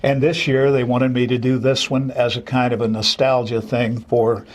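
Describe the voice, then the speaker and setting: Older man, theater director, interview guest, conversational, enthusiastic, reminiscing, medium pitch. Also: level tone, normal speed.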